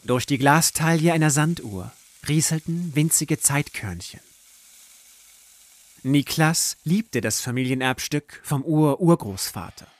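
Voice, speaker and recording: A man reading scripted literary narration for an audio drama in a measured, deliberate storytelling manner. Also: on the high side, dry recording.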